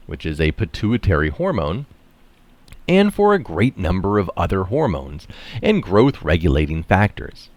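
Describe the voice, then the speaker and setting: A middle-aged man reading an article aloud with deliberate, emphatic phrasing and stressed key terms. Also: fairly low pitch, dry recording. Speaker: reader of articles. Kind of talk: reading an article aloud with brief casual asides. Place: small room indoors.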